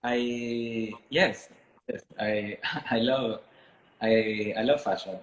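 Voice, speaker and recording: A man from Miami talking casually and reflectively as an interviewed artist, thoughtful and halting as he searches for words. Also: mid-range voice, fairly even intonation, slight background noise. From Miami, United States, born in Ecuador. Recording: echoey room sound.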